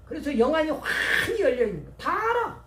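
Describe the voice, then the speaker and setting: A man's voice: very high voice, huge pitch swings, fairly quick, a bit bright. A middle-aged or older man preaching as a pastor, emphatic and folksy, telling personal anecdotes. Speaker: pastor and former seminary professor. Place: church service. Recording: echoey church, distant mic, faint noise.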